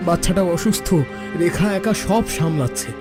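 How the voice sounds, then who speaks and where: A man's voice: sits high, moderate pace, over music, natural voice. A man playing a worried younger brother and troubled husband in a cartoon drama, anxious and plaintive. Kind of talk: cartoon family drama acting.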